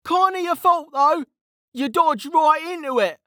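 A narrator voicing a hot-headed young male fire mage in a fantasy audiobook, indignant and protesting, pitched far up. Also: measured pace, bright, forceful tone, no room sound, studio quality. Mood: excited, cheeky.

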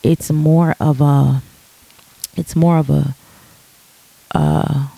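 A woman with a deep, raspy morning voice, speaking in an earnest, reflective way in a casual podcast monologue, full of ums and restarts. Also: fairly even intonation, very slow, dark tone, no room sound, poor audio.

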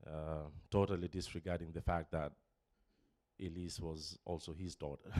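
A man in his forties from Kenya giving a personal testimony in church, halting with many fillers and restarts, emotional at times. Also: low-pitched, steady tone, darkish tone, no room sound, clean studio sound. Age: 40s. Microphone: handheld microphone.

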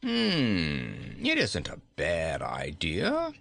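A man's voice: on the low side, wildly swooping pitch, a little slow, fairly bright tone, no echo, clean studio sound, exaggerated voice. A man voicing a mocking warrior king in a storybook, taunting and ponderous. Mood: grave, pondering. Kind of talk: storybook character reading.

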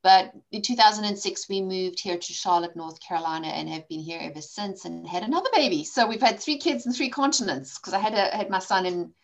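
A woman in her forties from South Africa, a guest in a conversational interview, telling her story candidly with quick asides. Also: medium pitch, animated, rough recording. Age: 40s. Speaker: podcast guest, coach and author.

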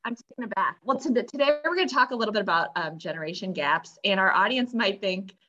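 A woman's voice: medium pitch, expressive, fast talker, muffled recording. A woman in her mid thirties co-hosting a casual chat and introducing the topic. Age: 30s. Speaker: podcast co-host.

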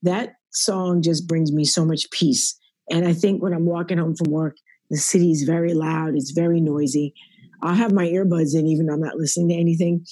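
Deep raspy woman, earnest podcast guest, dry close sound. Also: fairly even intonation, normal speed, dark tone, no room sound. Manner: earnest, faith-centered, speaks in lists. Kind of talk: reflective podcast interview. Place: at work.

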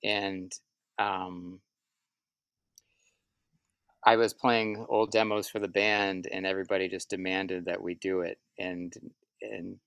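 A man talking casually as an interview guest, a musician telling stories in a halting, reflective way and often repeating his words as he goes. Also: low voice, level tone, creaky, a bit bright, clean tone, no room sound. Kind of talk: casual interview answers, storytelling.

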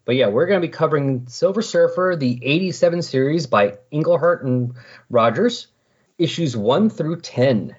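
A man's voice: on the high side, animated, moderate pace, husky, lo-fi sound. A man hosting a hobby podcast, talking in a casual, conversational style. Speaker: podcast host. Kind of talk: casual comics podcast talk with read synopsis.

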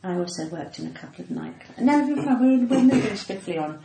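A woman's voice: fairly high pitch, lively intonation, moderate pace, mellow. An older woman with a cut-glass English accent, telling anecdotes in casual chat with a theatrical, teasing manner. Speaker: comedian and actor, interview guest. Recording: echoey room with clear background noise.

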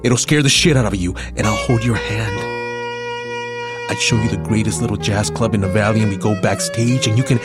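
An actor playing a weary, tender middle-aged uncle haunted by prison in a radio play, confiding haltingly and wistfully. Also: male voice, lowish voice, over loud music, lightly acted.

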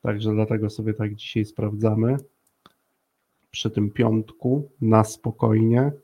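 Mężczyzna prowadzący na żywo swobodną rozmowę z gośćmi, gadatliwy, chętnie powtarzający słowa dla podkreślenia. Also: male voice, on the low side, level tone, slow, mellow, slightly rough. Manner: chatty, repeats phrases for emphasis. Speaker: host of a live talk show with guests. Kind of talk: casual, friendly co-hosted chat.

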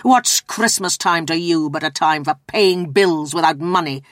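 A man's voice: a little high, measured pace, fairly bright tone, no room sound, studio quality. A woman voicing a bitter, scornful old miser in a storybook reading, stern, indignant and growling.

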